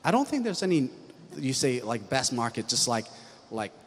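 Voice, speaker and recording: A man from Hong Kong answers informally as a panelist, in a mid-range voice. His delivery is halting and peppered with "like". Long hall reverberation surrounds him. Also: mid-range voice, very animated, husky. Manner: halting, frequent "like". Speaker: angel investor on a panel.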